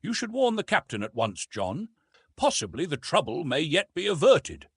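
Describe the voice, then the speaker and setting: A male reader puts on a young noble wife in a storybook adventure. She speaks formally and firmly, with a dutiful, principled air. The voice is slightly husky and moves expressively up and down. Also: a little high, huge pitch swings, normal speed, fairly bright tone, dry recording, clean studio sound, pitched above the performer's own voice, full character voice. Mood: urgent.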